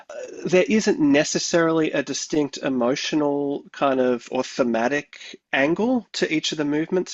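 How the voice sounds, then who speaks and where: Australian composer guest, 30s, mid-pitched, hesitant and talkative, dry studio sound. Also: male voice, no room sound, dull audio. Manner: thoughtful, rambling, many fillers. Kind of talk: interview answers.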